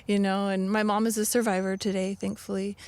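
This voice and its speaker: A woman, an officer with a charity, answering interview questions earnestly, with halting restarts and fillers. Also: medium pitch, flat delivery, a little mellow, smooth, no echo. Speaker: Salvation Army officer.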